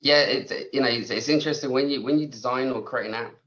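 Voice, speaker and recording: A man speaking as a guest panelist in a webinar discussion over a video call, measured and conversational. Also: medium pitch, a bit bright, highs cut off. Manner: measured, conversational, leans on "you know".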